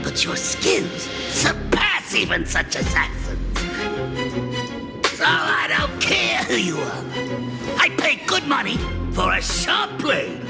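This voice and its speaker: A sly, flamboyant gang boss with a very high, gravelly voice that leaps all over its range. He drawls slowly and cackles between lines. Game music plays under a long, echoing reverb. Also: wildly swooping pitch, heavily acted. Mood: shrewd. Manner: theatrical, cackling, wheedling. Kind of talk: video game voice acting.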